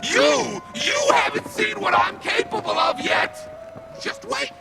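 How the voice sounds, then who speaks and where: Game villain: pompous, scornful ghost, slow and heavily creaky, loud music underneath. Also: male voice, very high-pitched, lively intonation, heavily acted. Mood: furious, ranting. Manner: pompous, grandiose, taunting. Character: menacing, boastful male ghost villain, theatrical and scornful. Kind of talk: video game villain acting.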